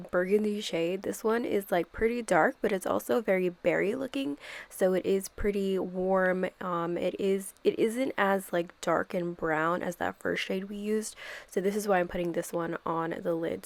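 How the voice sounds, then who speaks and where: A woman's voice: lowish voice, gravelly. A young woman with a stuffy, congested voice from a cold, chatting through a makeup tutorial voice-over and filling it with "like" and "kind of.". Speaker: makeup YouTuber.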